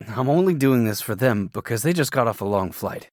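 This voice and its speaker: A man acts as a young ex-boyfriend in an interactive audio roleplay, reserved yet considerate. His voice is medium in pitch, with a dry sound free of room echo. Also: lively intonation, a little fast, close to an everyday voice.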